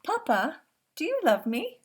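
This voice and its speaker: A woman's voice: high-pitched, wildly swooping pitch, unhurried, pitched above the performer's own voice, exaggerated voice. A woman voicing a young boy who eagerly asks his father question after question, read aloud from a picture book. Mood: pleading. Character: young Maasai boy, curious and anxious.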